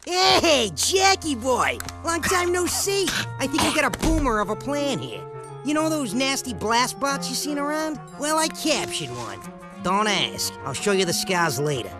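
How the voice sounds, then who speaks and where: Brash wisecracking bomb crook, high voice, slangy, bouncy, cartoony game. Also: male voice, sing-song, a little slow, exaggerated voice. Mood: chummy, cocky. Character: cocky wisecracking male demolition crook, chummy and brash. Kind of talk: cartoonish video game acting. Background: music.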